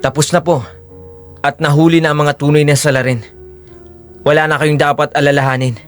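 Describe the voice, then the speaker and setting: A man's voice: on the high side, little pitch movement, a little fast, soft background music, lightly acted. A man playing an earnest, forgiving young hero in an audio drama, speaking sincerely and respectfully. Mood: forgiving, reassuring.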